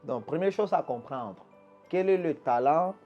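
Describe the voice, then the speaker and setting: A fairly high-pitched man speaks as a pastor being interviewed. He teaches with emphatic conviction and often stumbles back over a word before pushing on. Faint music plays underneath. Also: lively intonation, measured pace, lo-fi sound. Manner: restarts and repeats words, emphatic teaching tone. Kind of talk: earnest preaching-style answers. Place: Quebec.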